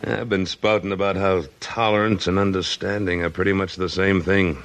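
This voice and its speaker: Rugged frontier hero, very low American voice, plain-spoken, old radio western. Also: male voice, flat delivery, moderate pace, a bit bright. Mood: amiable. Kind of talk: 1950s radio western acting. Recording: old 1950s radio broadcast recording.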